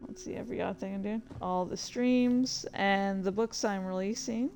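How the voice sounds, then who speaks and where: A woman's voice: mid-range voice, moderate pace, a little mellow, soft background music. A woman streamer chatting casually, rambling along with distracted asides. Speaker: streamer and indie author. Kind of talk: casual livestream chat.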